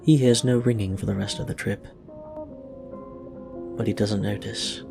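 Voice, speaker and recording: A man's voice: on the low side, steady tone, measured pace, vocal fry, music in the background. A man reading fiction aloud as a scripted narration. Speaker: audio fiction reader. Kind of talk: scripted story narration with acted dialogue.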